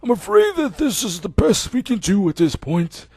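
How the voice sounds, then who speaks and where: A man voicing a calm older male doctor with a measured, reassuring bedside manner. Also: sits high, vocal fry, husky, no echo, studio quality, pitched above the performer's own voice, full character voice.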